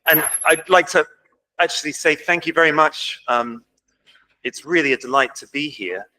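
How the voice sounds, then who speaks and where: English guy lecturing at a conference, chatty, lots of ums, bright crisp voice. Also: a little high, normal speed, slight background noise, low-quality recording. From England. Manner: informal, self-deprecating, many ums. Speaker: conference speaker. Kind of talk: academic lecture. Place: lecture hall.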